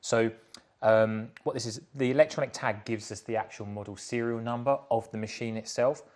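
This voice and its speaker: A man's voice: medium pitch, fairly even intonation, moderate pace, darkish tone. A man in his thirties giving a casual, explanatory product demonstration in an indoor workshop showroom.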